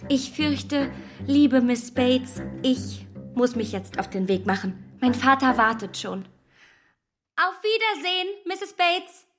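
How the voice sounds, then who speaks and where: A young actress voicing a poised gentlewoman who speaks politely, with a cool, slightly condescending manner, in a radio play. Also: high-pitched, lively intonation, slow pace, faint music behind, exaggerated voice.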